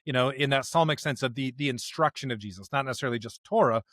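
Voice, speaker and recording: A man's voice: medium pitch, fairly bright tone, no room sound. Guy cohosting a faith podcast, mid voice, emphatic, stops and starts, says right? a lot, really dry sound. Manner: emphatic, halting, often adds rhetorical "right?". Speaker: podcast co-host. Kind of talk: conversational scripture discussion.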